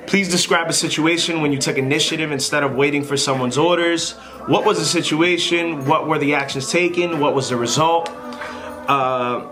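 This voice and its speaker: Rambling, informal male vlogger over music. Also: mid-range voice. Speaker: vlogger giving airline interview advice. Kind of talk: casual unscripted advice to camera.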